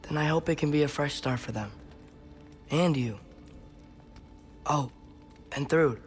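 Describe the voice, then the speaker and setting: A young actor playing an earnest, gentle youth in a game, speaking softly and haltingly. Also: male voice, on the high side, soft background music, natural voice. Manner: soft, halting.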